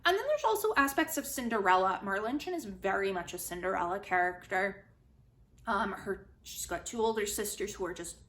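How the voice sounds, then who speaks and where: A woman giving a casual, chatty spoken book review, retelling and commenting in an animated way. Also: medium pitch. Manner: animated, chatty, acts out quotes informally. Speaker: book reviewer, host of a literary video series.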